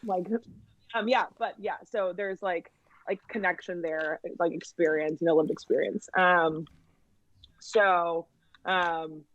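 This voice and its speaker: A woman's voice: fairly low pitch, steady tone, takes it slowly, fairly bright tone, no echo. Casting: woman guest, chatty and rambling, dry close sound, slightly muffled. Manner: chatty, many fillers and restarts, laughs often. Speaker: podcast guest. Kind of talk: casual chat.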